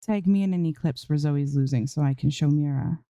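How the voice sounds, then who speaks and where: Woman voicing an amiable, mellow young woman. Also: low-pitched, fairly quick, no echo. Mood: teasing. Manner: eager, agreeable, teasing. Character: amiable, slightly lost young woman, teasing friend. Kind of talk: storybook character dialogue in audiobook reading.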